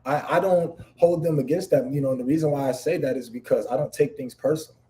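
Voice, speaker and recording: A man with a deep voice talking bluntly and emphatically in a casual live-stream chat, swearing freely. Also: fast talker, mellow. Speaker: guest.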